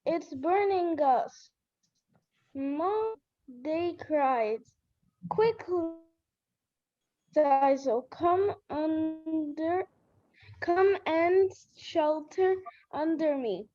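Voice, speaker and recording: Very slow, high-voiced child reader, dull recording. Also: animated, no echo. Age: child, about seven or eight. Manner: halting, word-by-word reading. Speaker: pupil reader. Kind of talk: reading a storybook aloud.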